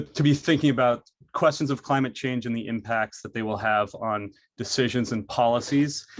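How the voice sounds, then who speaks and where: An earnest young man in his college years, from Maine, presents his studies with a mid-range voice. He stumbles through fillers and repeated words as he goes. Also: mid-range voice. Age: young adult. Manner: earnest, frequent fillers and restarts. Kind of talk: prepared presentation.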